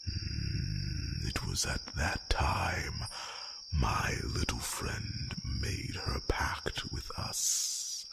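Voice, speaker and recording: An ancient, courteous dragon, rumbles in a heavily creaky near-whisper. The phrasing is extremely slow, formal and proud, as in a fantasy audio roleplay. Loud cricket-filled nature noise sits behind the voice. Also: male voice, heavily acted. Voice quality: very deep, gravelly, breathy near-whisper. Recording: noisy track with loud nature ambience.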